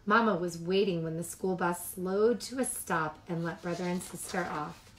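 A woman reading picture books aloud to young children as a storytime host, with animated delivery and chatty asides. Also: lowish voice, normal speed, poor audio.

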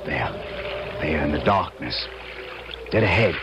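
A man's voice: rumbling low, expressive, a little slow, vocal fry, full character voice. An actor playing a fatherly, authoritative Confederate colonel with formal speech in a radio drama. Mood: tense. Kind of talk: 1970s radio drama acting. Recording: noisy vintage radio broadcast.

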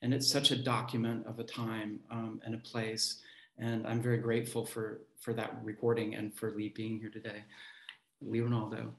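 Echoey, even-toned man hosting. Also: fairly low pitch, normal speed, gravelly. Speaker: host introducing readers. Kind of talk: event introduction, part read and part informal. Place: room at home, indoors. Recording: roomy, echoey video-call sound.

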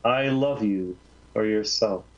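A man reading the lines of a serene male teacher, speaking low, tenderly and slowly. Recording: slightly dull lo-fi recording.

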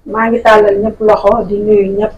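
A woman with a Senegalese accent, a club supporter, urging fans to buy the jerseys in a brisk, enthusiastic way. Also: mid-range voice, level tone, a little mellow, lo-fi sound, slight background noise. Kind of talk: promotional appeal.

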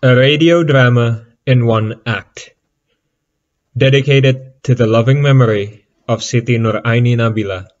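A man working as an announcer, reading credits as scripted narration. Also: mid-range voice. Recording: slightly dull, lo-fi recording.

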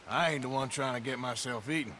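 An actor playing a world-weary, sardonic American outlaw in a game, with a dismissive drawl. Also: male voice, mid-range voice, moderate pace, bright, forceful tone, a little hiss or hum, lightly acted. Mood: dry, easygoing. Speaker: player character, outlaw. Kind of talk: dramatic game cutscene acting.

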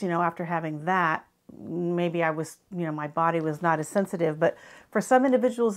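A woman in her fifties with an American accent, chatting and explaining as she reviews travel products to camera. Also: medium pitch. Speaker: travel gear vlogger. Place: small furnished living room, indoors.

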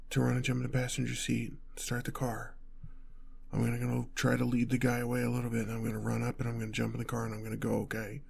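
A male actor performs a protective boyfriend on the run in an intimate audio roleplay. His voice is husky and creaky at a middling pitch, with little melodic movement. He stammers under pressure, urgent yet tender toward his partner. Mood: tender, tense.

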